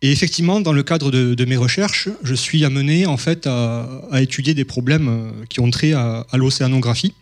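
Man from southern France, mathematics lecturer, hesitant, many fillers, middle pitch. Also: brisk, hardly any pauses. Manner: hesitant, many fillers and restarts, friendly explaining. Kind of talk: public science lecture.

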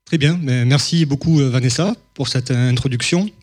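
A man's voice: mid-range voice, normal speed, darkish tone, a little hiss or hum. A man from southern France giving a public mathematics lecture, hesitant, with many fillers and restarts.

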